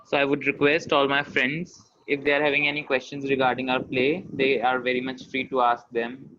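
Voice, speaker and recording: A man's voice: medium pitch, monotone, a little fast, pushed and bright, slight background noise. A young man with an Indian accent, a student speaking politely and casually to classmates in an online class. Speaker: student taking audience questions. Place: indoors on an online video call. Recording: dull lo-fi video-call audio.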